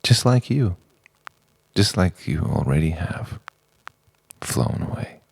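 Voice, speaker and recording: A man narrating an introspective spoken-word monologue in halting, musing phrases full of rhetorical questions. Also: low-pitched, very animated, creaky, darkish tone, slightly rough. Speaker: narrator of a personal art film.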